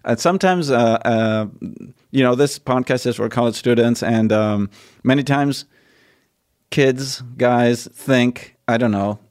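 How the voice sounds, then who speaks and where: A man's voice: mid-range voice, measured pace, a little mellow. Chatty man from Argentina hosting. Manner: chatty, storytelling, many fillers and restarts. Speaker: podcast host, priest. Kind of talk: casual interview.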